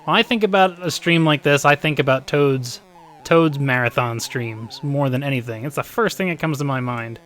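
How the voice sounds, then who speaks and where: A man of forty, a bit hoarse, chatting upbeat with viewers while he plays a live speedrun from home. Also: mid-range voice, moderate pace, fairly bright tone, a little hiss or hum. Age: 40s. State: slightly hoarse. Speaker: speedrun streamer. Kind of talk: live-stream gameplay commentary.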